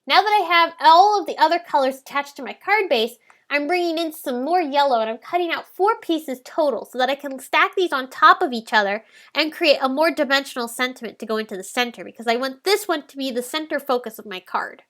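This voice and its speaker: A woman with a stuffy head cold, sounding nasal, calmly talking through a card-making tutorial step by step. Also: high-pitched, a little fast. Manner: calm explanatory walkthrough. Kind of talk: step-by-step crafting voice-over.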